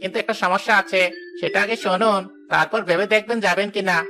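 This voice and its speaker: A man voicing a chatty middle-aged village matchmaker in a cartoon, brisk and reassuring. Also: sits high, steady tone, pushed and bright.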